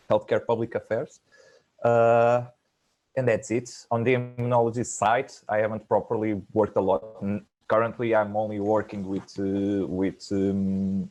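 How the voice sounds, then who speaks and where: A man's voice: fairly low pitch, darkish tone. A man from Portugal, a native Portuguese speaker, chatting casually as a guest in a modest, lightly joking way. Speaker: guest, pharmacist in policy consultancy. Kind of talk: casual self-introduction and chat.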